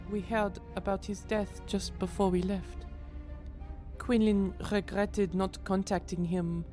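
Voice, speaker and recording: Woman as a slow, reflective female Jedi. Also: mid-range voice, dark tone, lightly acted. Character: reflective female Jedi recalling old friends. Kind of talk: comic dub voice acting.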